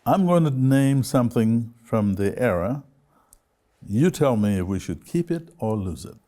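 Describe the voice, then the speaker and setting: A man hosting an interview, putting questions in deliberate, measured phrases with pauses between them. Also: mid-range voice, expressive, warm tone. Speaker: television interview host.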